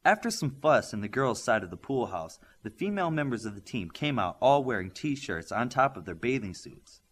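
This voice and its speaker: A man's voice: medium pitch, very animated, normal speed, a bit bright, breathy edge. A man reading scripted story narration, as an audiobook reader does.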